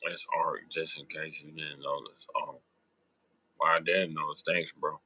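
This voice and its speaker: A young man with a sick, hoarse, raspy voice, rambling through casual sports talk with lots of ums and slang. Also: flat delivery, a little slow, bright, forceful tone. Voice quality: deep raspy voice. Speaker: sports podcast host.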